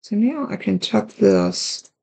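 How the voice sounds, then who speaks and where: A woman with a raspy, hoarse voice hosting a card-making tutorial, chatting along as she works through each step. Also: mid-range voice, takes it slowly, a little mellow. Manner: chatty, talks while working with her hands. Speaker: card-making channel host.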